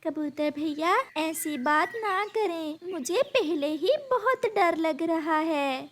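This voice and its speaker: A caring little female sparrow from a children's cartoon, voiced by a woman. She is gentle and anxious, with a high voice and unhurried delivery. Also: animated, slight background noise, heavily acted. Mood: worried.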